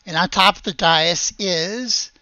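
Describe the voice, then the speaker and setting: A game master improvising tabletop game narration in a halting, hesitant way. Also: mid-range voice, sharp tone, no room sound, dull audio.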